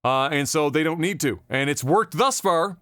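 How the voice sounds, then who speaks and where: A man co-hosting a gaming podcast in a casual, profane rant. His voice sits fairly high. The sound is close and dry. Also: lively intonation, moderate pace, a bit bright, no room sound. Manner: profane, wry ranting. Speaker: podcast co-host. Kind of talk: casual gaming news chat.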